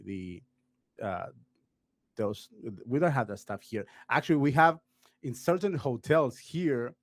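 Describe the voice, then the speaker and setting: A man's voice: on the low side, dry recording. A man from Uruguay, a podcast guest chatting casually, rambling with plenty of "like" and "uh". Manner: rambling, heavy on "like" and "uh" fillers.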